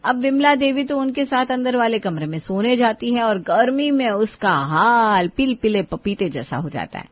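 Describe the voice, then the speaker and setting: A woman's voice: on the high side, expressive, moderate pace, dry recording, dull audio. A woman narrator telling a scripted cartoon family story.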